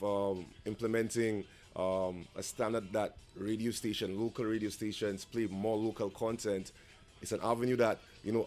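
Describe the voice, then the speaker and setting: Low-voiced, hesitant man. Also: a little mellow. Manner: hesitant, repeats words. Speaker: radio manager and music producer. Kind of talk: unscripted news interview.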